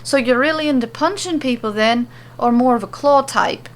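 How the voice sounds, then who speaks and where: A woman's voice: a little high, normal speed, voice pushed up, natural voice. A woman voicing a jolly, boastful Irish woman warrior in a fantasy story, theatrical and friendly with a dour streak.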